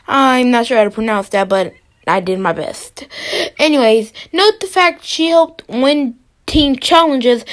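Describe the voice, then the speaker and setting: A woman with a high voice hosts a ranking video. Her delivery is informal and opinionated, with playfully dramatic touches. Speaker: video host.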